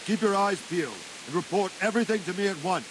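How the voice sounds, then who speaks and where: A man plays a stern medieval guard captain in a video game, his voice pitched fairly high. He gives orders bluntly and with authority, with clear hiss and rain behind him. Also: fast talker, close to an everyday voice. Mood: stern, commanding. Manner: commanding, blunt. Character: stern castle guard captain, gruff commander of men. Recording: hissy, rain and thunder behind.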